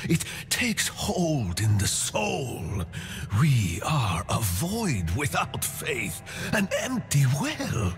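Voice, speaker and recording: A fervent believer speaks very slowly, with wide swings of pitch and reverent intensity. It is a rapturous game cinematic monologue with music behind it. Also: male voice, huge pitch swings, heavily acted. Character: fervent, rapturous male religious devotee narrating a prophecy.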